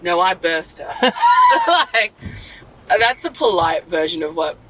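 A young woman, an actor and writer, talking candidly in a casual interview and telling animated stories with many fillers and restarts. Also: lowish voice, takes it slowly, husky, low-quality recording. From Australia.

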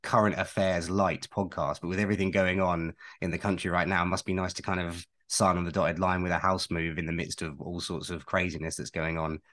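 A man with a Manchester accent hosts a podcast, his voice sitting very low. He rambles in a friendly, jokey way, circling through asides before getting to the point. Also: level tone, brisk, hardly any pauses, a bit bright. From Manchester, England. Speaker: podcast co-host. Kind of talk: casual podcast chat.

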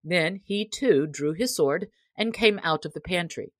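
Female storybook narrator, low register, measured and expressive, very dry recording. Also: dry recording. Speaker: audiobook narrator.

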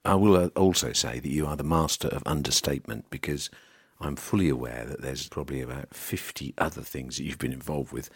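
A man's voice: extremely low pitch, brisk, hardly any pauses, breathy edge, no room sound. A man hosting a podcast interview, chatty and teasing with his guest. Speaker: podcast host. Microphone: podcast microphone.

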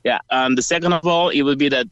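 A man from El Salvador with a Spanish accent, calling a radio show by phone and answering earnestly in halting English. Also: mid-range voice, a bit bright, smooth, no room sound. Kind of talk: conversational interview answers. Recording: dull phone line.

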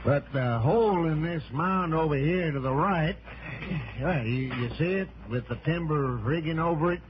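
An actor playing a folksy, confident American desert local who explains things plainly in a 1960s radio drama. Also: male voice, fairly high pitch, normal speed, natural voice. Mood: urgent, directing. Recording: muffled, very noisy vintage radio broadcast.

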